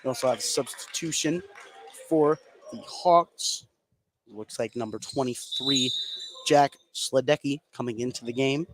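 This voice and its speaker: A man doing live radio play-by-play commentary of a basketball game, excitable on big plays. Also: mid-range voice, unhurried. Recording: clearly noisy live sports broadcast.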